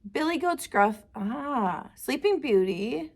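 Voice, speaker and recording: A woman's voice: high voice, animated, takes it slowly, exaggerated voice. A woman voicing a genial, teasing old grandfather elephant in a children's storybook. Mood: fond. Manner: playfully feigning forgetfulness, chuckling warmth.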